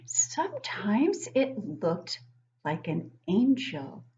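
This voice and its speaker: Animated middle-aged woman reading to children. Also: mid-range voice, highs cut off. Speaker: children's read-aloud host and teacher. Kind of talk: interactive picture-book reading for young children. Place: living room at home, indoors. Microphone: webcam at desk distance.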